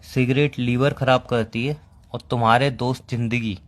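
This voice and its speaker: A man playing a young boyfriend in a romantic phone-call drama. His voice sits at a medium pitch. He is easily irritated and leans into flowery, dramatic phrasing as he argues. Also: steady tone, moderate pace, lightly acted. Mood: indignant. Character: young, exasperated boyfriend with a poetic, dramatic streak. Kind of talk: staged romantic phone-call argument in conversational Hindi.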